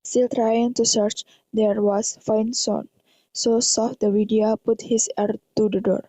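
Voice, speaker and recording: Flat, high-voiced Indonesian woman narrator. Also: takes it slowly, a little hiss or hum, poor audio. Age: young adult. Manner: reading aloud in non-native English. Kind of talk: scripted narration of a horror radio drama.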